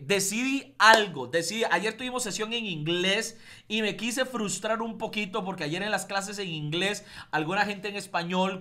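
A man with a Costa Rican accent leads a trading class with upbeat, emphatic energy. His voice is bright and forward, and he often repeats words for emphasis. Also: high-pitched. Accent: Costa Rican Spanish. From Costa Rica. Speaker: trading instructor. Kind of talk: motivational class talk.

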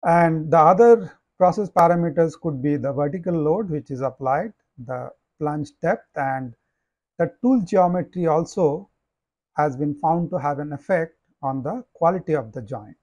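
A male professor talks through his slides in a slightly high voice, measured and deliberate, with many "uh" fillers. The sound is close and dry, with no room around it. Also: a little mellow, no echo. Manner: measured explaining with frequent "uh" and "you know". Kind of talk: slide lecture.

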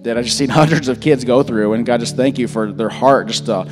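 A man in his thirties, a little hoarse, giving a relaxed church talk and prayer into a handheld mic, full of fillers and restarts. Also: medium pitch, fairly quick. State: slightly hoarse. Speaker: pastor. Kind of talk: informal sermon and prayer. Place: large church stage. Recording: church hall reverb. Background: soft worship music.

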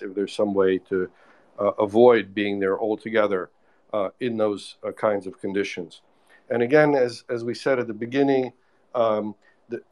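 A man with a fairly low voice speaks on a live online audio panel. He explains in a careful, measured way, often pausing on fillers and restarting sentences as he works through a point. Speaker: expert panelist. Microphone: remote online audio call.

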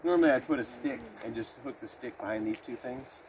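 A middle-aged man demonstrating bushcraft in a pine forest, relaxed and explaining step by step as he works, picked up by a camera a few meters away. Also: mid-range voice, a little fast, low-quality recording. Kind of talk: outdoor demonstration. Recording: outdoor noise behind voice.

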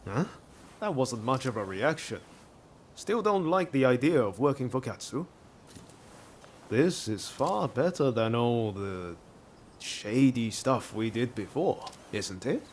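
Easygoing, mid-pitched samurai buddy. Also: male voice, lively intonation, slow pace, a little hiss or hum. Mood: eager, relaxed. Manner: casual, chummy, upbeat. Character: easygoing, eager, friendly samurai companion. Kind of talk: scripted video game cutscene acting.

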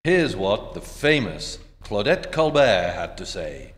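A man playing a measured announcer narrating an old-time Hollywood radio show. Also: lowish voice, sing-song. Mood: measured, presentational. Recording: reverberant stage hall, faint noise.

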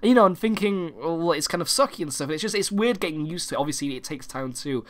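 Croaky young man with a cold. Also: on the high side, expressive, fast, a bit bright. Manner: rambling, many fillers and restarts. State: hoarse from a cold. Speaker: gaming channel host. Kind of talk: casual vlog to viewers. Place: home recording room.

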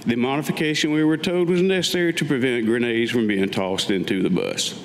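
A man's voice: mid-range voice, brisk. An older man, around seventy, reading his own written personal story aloud at a staged reading, with wry asides. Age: older, about 70. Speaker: Vietnam veteran reading his own piece. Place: public meeting room with audience, indoors. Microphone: gooseneck lectern microphone. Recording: reverberant hall, faint background noise.

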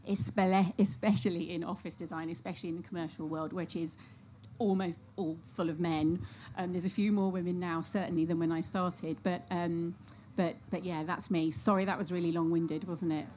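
Candid low-voiced woman panelist. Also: steady tone, normal speed, a little mellow, a little hiss or hum. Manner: candid, self-deprecating, rambling. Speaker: panelist, office designer. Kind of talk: panel discussion.

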